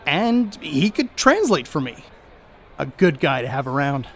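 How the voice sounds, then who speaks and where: A man plays a hard-living, jovial sports star in a period radio play. His voice sits fairly high and he talks in boastful, folksy slang. A faint outdoor traffic noise runs behind him. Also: big pitch swings, a little slow. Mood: genial, proud. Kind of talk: audio drama acting, 1930s setting.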